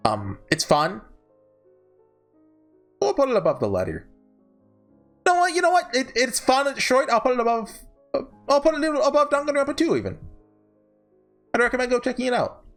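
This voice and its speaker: A man's voice: very high-pitched, sing-song, normal speed, pauses often, a bit bright. A man giving casual, off-the-cuff ranking commentary, rambling and often backtracking mid-sentence, with emphatic, enthusiastic fan opinions. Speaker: host ranking visual novels. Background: background music.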